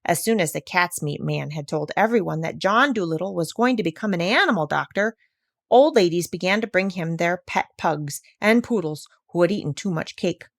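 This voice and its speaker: Female storyteller for a children's read-aloud: expressive, mid-pitched, lively intonation, dry recording. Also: no room sound.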